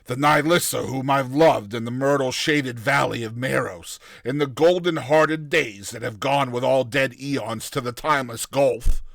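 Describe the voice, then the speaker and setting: A man's voice: medium pitch, a little slow, creaky, few pauses, fairly bright tone, no echo. A man acts out an old, brooding sorcerer from a fantasy storybook. He is world-weary and solemn, choosing his archaic words with deliberation. The sound is dry and close. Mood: yearning. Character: aged, wrinkled male necromancer, brooding and world-weary.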